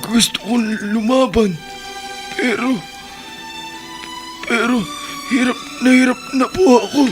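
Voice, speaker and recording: A stabbed young man fighting for every breath in a radio drama, his high-pitched voice faltering and stammering. The words drag out slowly, and a music bed plays underneath. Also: steady tone. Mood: dying, breathless. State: gasping for breath.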